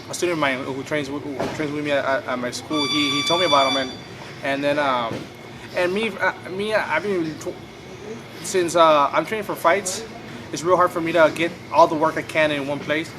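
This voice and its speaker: A man's voice: fairly high pitch, moderate pace, background noise. A man in his thirties with a Mexican-American accent, talking in a documentary interview about his fighting career in a rambling, repetitive way with many fillers. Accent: Mexican-American English. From US-Mexico border region.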